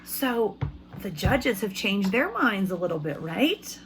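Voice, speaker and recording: A woman speaking as an elementary school teacher, reading aloud to children in simple, expressive language and putting questions to them. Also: medium pitch, takes it slowly, a crowd in the background.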